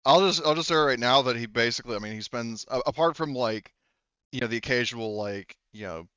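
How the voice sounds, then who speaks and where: A man's voice: mid-range voice, a little fast, fairly bright tone, smooth, no room sound, dull audio. A man running a tabletop game as game master, narrating casually in a hesitant, self-correcting way.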